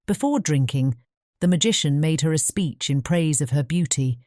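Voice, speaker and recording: A woman's voice: low voice, expressive, normal speed, dark tone, dry recording. A woman narrating a fairy-tale audiobook in a scripted storybook style.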